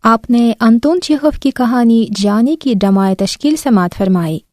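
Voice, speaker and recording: A woman's voice: a little high, unhurried, dark tone, faint music behind. A woman making a formal radio announcement in the manner of closing credits.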